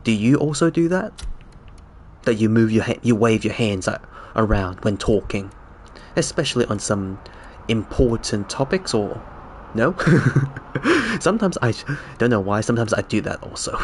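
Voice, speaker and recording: A man's voice: fairly low pitch, expressive, slight background noise. A man whose first language is Cantonese, streaming a game and chatting casually with viewers, often restarting his sentences. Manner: halting, restarts sentences, chatty questions to chat. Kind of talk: casual chat with viewers while gaming.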